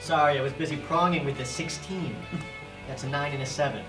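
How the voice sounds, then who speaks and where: A man's voice: fairly high pitch, fairly quick, close to an everyday voice. Comedy table read: male performer as snide, buzzed ladies' man, roomy sound, music underneath. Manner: flippant, snide. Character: buzzed, sleazy, flippant womanizer buddy.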